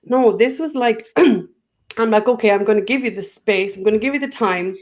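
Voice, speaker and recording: A woman's voice: on the high side, normal speed, a little mellow. A woman with an Irish accent, a guest in a spiritual conversation, speaking earnestly and often breaking off to restart her thought.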